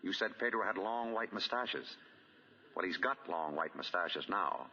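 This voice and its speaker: An actor playing a shrewd, composed amateur detective in 1940s radio drama style, with probing, deliberate questioning. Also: male voice, sits low, expressive, pushed and bright, big-room sound, full character voice. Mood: grave, accusing. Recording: dull, lo-fi 1940 radio broadcast.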